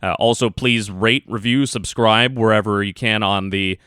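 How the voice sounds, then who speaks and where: A man's voice: on the low side, fairly even intonation, normal speed, sharp tone, clean tone, no room sound, studio quality. A man co-hosting a music podcast, chatting casually, reading listener comments and plugging the show. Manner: upbeat, reads listener comments and show plugs. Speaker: podcast co-host.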